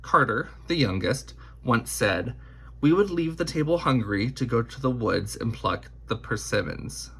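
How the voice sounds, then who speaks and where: Husky, bright-voiced man reading a storybook aloud. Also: medium pitch, lively intonation, unhurried. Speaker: picture book reader. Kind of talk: scripted storybook read-aloud.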